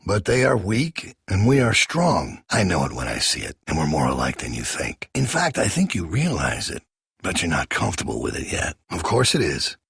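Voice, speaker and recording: A ruthless American survivor boss in a video game. His voice is low and heavily rasped, with folksy, controlling menace that moves between soft persuasion and hard command. The recording is close and dry. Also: huge pitch swings, dry recording, full character voice. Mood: quiet, persuasive. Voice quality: gravelly, raspy. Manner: folksy, slow-burning menace, preachy. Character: menacing male survivor-camp leader, calm, controlling, ruthless.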